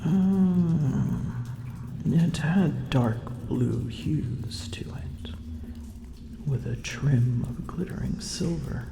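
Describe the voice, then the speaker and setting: A woman voicing a drowsy, gravelly female dragon. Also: low voice, full character voice. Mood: musing. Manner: slow, languid, often whispered, gravelly. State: weary. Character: drowsy, aloof female dragon, vain and teasing. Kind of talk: ASMR audio roleplay. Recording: roomy echo with loud ambient drips.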